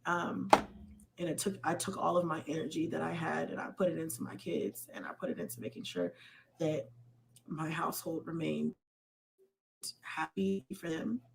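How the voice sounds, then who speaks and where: Low, creaky-voiced woman sharing personally. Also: steady tone, moderate pace, slight background noise, rough recording. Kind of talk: personal reflective storytelling.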